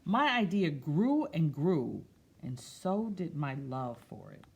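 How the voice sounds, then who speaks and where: Woman storyteller, low voice, very animated intonation, husky with some fry, musing read-aloud style. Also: slow pace, slight background noise. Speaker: storyteller reading a picture book aloud. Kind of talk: expressive read-aloud with soft, chatty asides.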